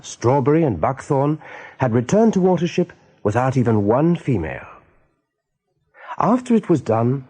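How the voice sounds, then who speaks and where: A man narrating a radio drama as a measured, serious storyteller with a mellow, husky voice. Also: medium pitch. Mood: grave.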